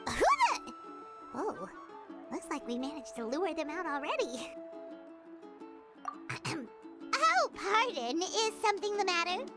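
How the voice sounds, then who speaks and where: A woman voicing a small, excitable, childlike companion character in a video game, squeaky and perky. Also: slow, pauses often, over music, full character voice. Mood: scheming, curious. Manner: perky, exclamatory, refers to self in third person.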